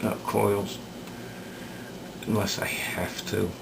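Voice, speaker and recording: Low, slow, gravelly man thinking aloud. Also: level tone. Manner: hesitant, halting, thinking aloud. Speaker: radio repair hobbyist demonstrating work. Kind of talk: unscripted workbench commentary. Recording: clear background noise.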